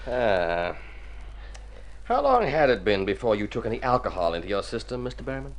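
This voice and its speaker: A man's voice: on the low side, very animated, a little fast. A man playing a formal, dry, self-interested doctor in a radio drama, precise with small hesitant hems. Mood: formal, clinical. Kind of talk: mid-century radio drama acting.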